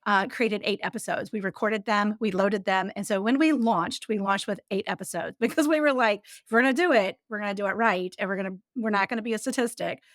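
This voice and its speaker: A woman's voice: mid-range voice, fairly quick, few pauses, fairly bright tone, no echo, studio quality. A woman in her forties, a business coach guesting on a podcast, telling stories in a chatty way with frequent laughs. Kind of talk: casual interview chat.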